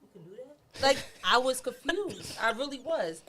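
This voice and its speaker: A woman guest telling how her organization started, chatty and lively. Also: high-pitched, normal speed, noisy background. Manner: lively, chatty, quotes herself. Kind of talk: casual storytelling.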